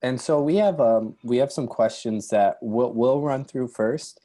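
A man's voice: mid-range voice, unhurried, mellow. A young man with a cold, nearly losing his voice, moderating a webinar in an easygoing way full of ums, on an earbud mic from a small room at home. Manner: easygoing, many ums and uhs. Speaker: doctoral candidate, webinar moderator. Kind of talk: casual webinar talk. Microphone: earbud headset mic, video call.

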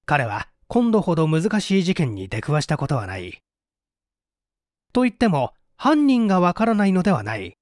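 A man's voice: a little high, huge pitch swings, moderate pace, a little mellow, no echo. Guy reading a mystery audiobook, voice swoops around a lot, theatrical, close dry recording. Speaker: audiobook narrator, stage actor. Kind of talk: dramatic scripted narration of a detective story.

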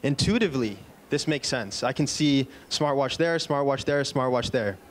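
A man's voice: mid-range voice, expressive, moderate pace. A man in his thirties giving a product keynote at a conference, upbeat, with a few fillers and restarts, speaking into a handheld mic on an indoor stage. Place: conference stage in a large indoor event room. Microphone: handheld microphone.

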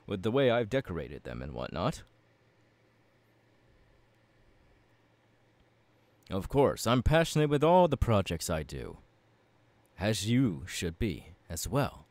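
A man's voice: on the low side, a little mellow, dry recording. A man playing a passionate, courteous young warrior mentor in an ASMR audio roleplay, speaking in an earnest, formal and encouraging way. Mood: friendly, hospitable.

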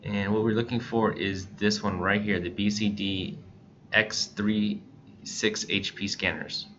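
A man presenting a software tutorial in a casual, step-by-step narration style. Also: fairly low pitch, little pitch movement, a little slow. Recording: dull lo-fi mic, background noise.

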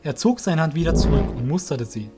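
A man's voice: a little high, expressive, a little fast, over music. A man narrating a scripted audio drama as its storyteller.

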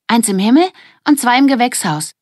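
A woman voicing a lively, dreamy young girl in a children's audiobook, speaking with wonder and exclamation. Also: fairly high pitch, fairly quick, dry recording, clean studio sound, pitched above the performer's own voice. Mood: earnest, wondering.

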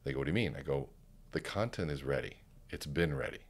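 Very deep, calm, resonant man. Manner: deliberate and calm, practiced resonant baritone with measured pauses. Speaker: podcast guest, speaker coach. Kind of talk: conversational podcast interview. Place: podcast studio with curtained backdrop. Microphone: studio microphone on a stand, headphones on.

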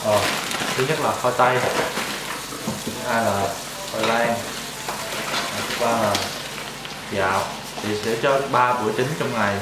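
A young man hosting a casual cooking vlog, explaining step by step in a relaxed, chatty way in his home kitchen. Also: mid-range voice, measured pace, pauses often, heavy background noise. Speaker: vlog host.

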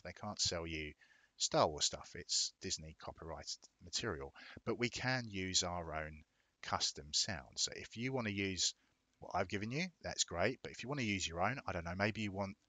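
A man narrating a casual software tutorial in a plain-spoken, step-by-step explanatory way. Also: sits low, no room sound. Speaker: video tutorial presenter.

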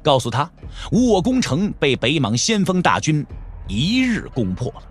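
A male narrator tells a martial-arts story in a dramatic, scripted style. His voice sits a little high and moves in lively swings of pitch.

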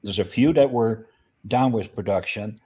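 A man's voice: on the low side, moderate pace, warm tone, breathy edge. A middle-aged man from the Netherlands, explaining his business in a video call interview with many fillers. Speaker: guest, flower business owner. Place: indoor room.

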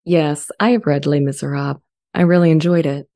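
A woman playing an earnest, friendly, self-aware artificial intelligence in a scripted dramatization, speaking in a measured, articulate way. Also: sits low, steady tone, dark tone. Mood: thoughtful. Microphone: studio condenser mic with pop filter, close.

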